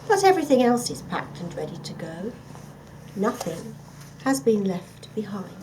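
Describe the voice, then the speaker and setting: A woman reading a children's story aloud as a scripted narration, in an expressive, dramatic storytelling manner. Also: mid-range voice, normal speed, background noise. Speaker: storybook reader.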